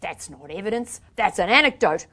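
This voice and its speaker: A woman's voice: mid-range voice, expressive, moderate pace, sharp tone. A woman voicing a furious, exhausted woman carer in a dramatic book reading, blunt, sweary and accusing. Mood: exasperated, livid.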